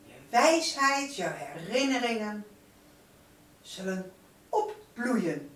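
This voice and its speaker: A woman's voice: on the high side, big pitch swings, takes it slowly, a bit bright. A woman giving a spiritual guided meditation in a solemn, incantatory manner, drawing out and repeating her phrases. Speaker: spiritual teacher leading a light-circle meditation. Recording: roomy, distant microphone, noisy background.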